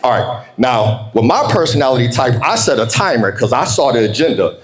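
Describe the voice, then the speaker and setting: A man's voice: mid-range voice, animated, a little fast. An American man speaking informally and directly to an audience as he gives a motivational keynote talk. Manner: conversational, informal, addresses listeners directly. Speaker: keynote speaker, entrepreneur coach.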